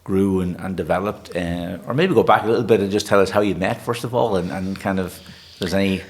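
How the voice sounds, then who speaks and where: A man hosting an interview, asking questions in a gentle, hesitant way. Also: deep voice, brisk.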